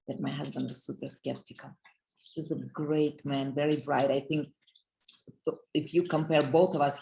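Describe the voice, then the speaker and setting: A woman from Russia, middle-aged, speaks with a strong Russian accent and a gravelly edge in her voice. Her pitch stays fairly flat as she earnestly addresses listeners on a video call. She sounds heartfelt and speaks directly to her audience. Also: slight background noise, low-quality recording. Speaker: motivational business speaker. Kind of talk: heartfelt motivational talk.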